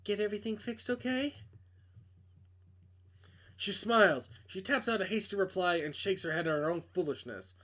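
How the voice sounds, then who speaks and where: A man with a fairly high voice narrates a visual novel aloud. He leans into dramatic storytelling, and now and then trips over a word. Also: expressive, brisk, few pauses, fairly bright tone. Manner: dramatic storytelling delivery, stumbles over words. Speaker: reader playing a visual novel.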